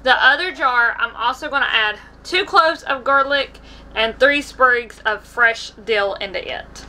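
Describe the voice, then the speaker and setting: A woman's voice: fairly high pitch, a little slow, pushed and bright, rough recording. An American woman in her twenties casually talking through a cooking demonstration as a vlog host, recorded on a camera in a small indoor kitchen. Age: 20s.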